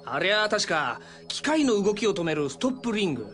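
A woman voicing a hot-tempered cowboy robot cat in an anime, rough, blustering and excitable. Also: mid-range voice, fairly quick, faint music behind. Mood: gruff, confident. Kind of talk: anime dubbing.

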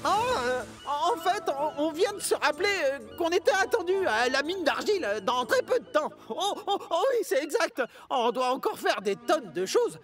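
A man's voice: very high voice, sing-song, normal speed, hardly any pauses, fairly bright tone, soft background music, exaggerated voice. A man voicing a mischievous young male tank engine in a children's cartoon, teasing and giggly. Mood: evasive.

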